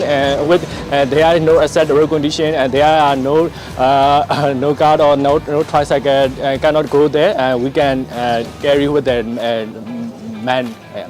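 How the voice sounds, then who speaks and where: Halting man, music behind. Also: fairly high pitch. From Myanmar. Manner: halting, many fillers and restarts. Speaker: aid worker, trainee. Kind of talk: on-site interview.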